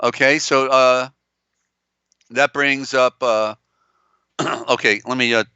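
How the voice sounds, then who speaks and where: A man hosting a live-stream lecture, with a strained, tiring voice and a halting, meandering delivery. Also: mid-range voice, fairly even intonation, sharp tone, clear, resonant tone, no room sound, highs cut off. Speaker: live show host.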